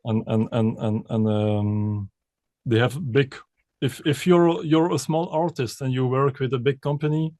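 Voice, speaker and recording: A man from Belgium, a composer and pianist, answering interview questions in halting with many restarts and repeated words. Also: mid-range voice, fairly even intonation, warm tone, dry recording, studio quality.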